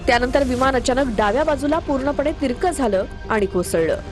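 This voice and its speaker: A woman's voice: high-pitched, lively intonation, brisk. A woman reading a scripted news narration. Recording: voice-over with prominent music bed. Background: background music.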